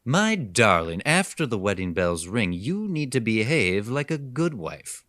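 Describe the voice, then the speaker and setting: Menacing kidnapper played by a male actor: patronising, pompous radio-drama villain, slow and dryly close, wide melodic swings. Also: on the low side, sing-song, a bit bright, no room sound. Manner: condescending, preachy, genteel menace. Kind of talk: horror radio drama acting.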